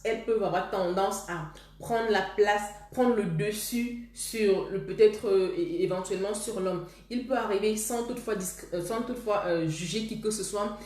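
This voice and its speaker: A woman talks earnestly to her viewers, repeating herself and starting phrases over as she thinks. Her voice sits at a middle pitch. The room around her is echoey and roomy. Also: normal speed, a little mellow. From Cameroon. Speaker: host. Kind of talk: casual advice talk to viewers.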